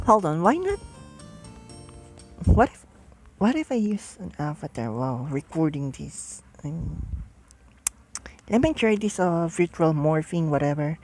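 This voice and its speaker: A woman's voice: lowish voice, sing-song, slow, long pauses, a little mellow, soft background music. A young woman giving casual gameplay commentary, repeating her words and reacting aloud to what she sees. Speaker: visual novel streamer.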